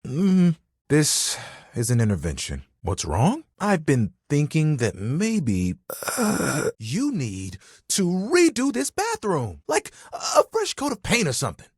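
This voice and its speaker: A man's voice: a little high, huge pitch swings, slow, husky, dry recording, studio quality, heavily acted. A man voicing a friendly, vain, dramatic living towel in a video game, chatty and playful with an easily wounded manner. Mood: awkward, confiding. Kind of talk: animated, conversational game character acting.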